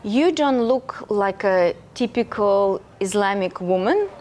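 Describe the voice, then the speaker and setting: A woman's voice: mid-range voice, lively intonation, slow pace, darkish tone. A woman hosting an interview with an enthusiastic presenter delivery, recorded at a bar venue. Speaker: interview host. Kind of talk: hosted interview.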